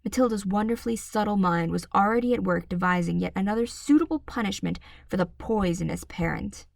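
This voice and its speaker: A woman reading a children's story aloud as an expressive narrator. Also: mid-range voice, a little fast, gravelly. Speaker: volunteer audiobook reader.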